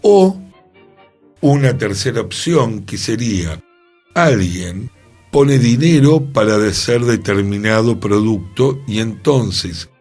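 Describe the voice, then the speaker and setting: A man co-hosting a cultural radio program, reflecting aloud in a slow, musing way. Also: on the low side, lively intonation, darkish tone, husky, soft background music. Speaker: radio co-host. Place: radio studio.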